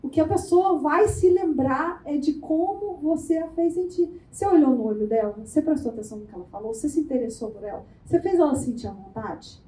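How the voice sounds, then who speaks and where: A Brazilian woman giving a lively networking workshop, talking playfully and firing quick questions at the room. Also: high-pitched. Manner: animated, playful, asks the room rapid questions. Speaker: workshop speaker. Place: event room before a live audience. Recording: echoey room.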